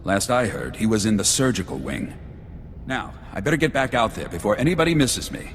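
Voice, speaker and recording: An actor playing a brusque, grumbling battlefield doctor in a video game, with a wry manner. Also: male voice, on the low side, sing-song, brisk, a little hiss or hum. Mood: curt. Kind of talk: video game cutscene acting. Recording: reverberant hall, faint music.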